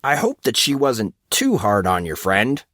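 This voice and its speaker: A man voicing a gruff, bossy, sarcastic football coach for an audiobook, barking out his words. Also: medium pitch, wildly swooping pitch, a little slow, fairly bright tone, no echo, studio quality. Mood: mock concern. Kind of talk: audiobook character acting.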